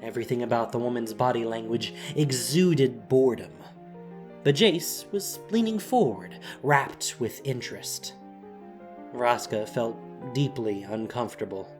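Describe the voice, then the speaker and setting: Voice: mid-range voice, darkish tone. A narrator reading a scripted fantasy story in a dramatic storytelling style.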